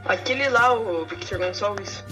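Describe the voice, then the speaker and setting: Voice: medium pitch, unhurried, over music, close to an everyday voice. A woman voicing a casual schoolboy messenger in an audio story. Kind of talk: dramatized audio story dialogue.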